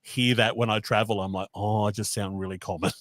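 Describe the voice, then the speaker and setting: A man's voice: fairly low pitch, fairly even intonation, moderate pace, a bit bright, no room sound. Guest, male, broad Western Sydney Australian accent, chatty, dry close sound. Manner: chatty, explains at length with fillers. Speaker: guest, author and radio host. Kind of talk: casual video-call interview. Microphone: video call.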